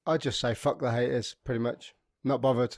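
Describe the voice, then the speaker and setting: A man with a Brummie accent touched with Devon, hosting a podcast and chatting in a relaxed, joking way. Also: mid-range voice, fairly quick, no room sound, studio quality. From English Midlands. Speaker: podcast host. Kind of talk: casual interview chat.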